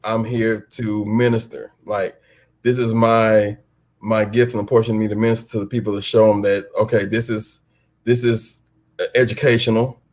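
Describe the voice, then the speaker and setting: A man speaking casually and candidly as an interview guest, halting and repeating himself for emphasis. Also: on the low side, little pitch movement, a little mellow. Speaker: stage play cast guest.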